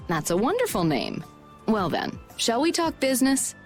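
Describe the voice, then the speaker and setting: A woman playing a shrewd, confident merchant guild president in a video game, brisk and polished in delivery. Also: fairly high pitch, huge pitch swings, faint music behind. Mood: persuasive, confident. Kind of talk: video game dub acting.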